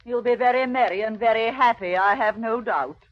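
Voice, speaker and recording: A woman playing a sharp-tongued, loyal wife and mother, tart and emphatic in a 1930s radio drama. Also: on the high side, a little fast, natural voice. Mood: grudging. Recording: muffled old radio broadcast.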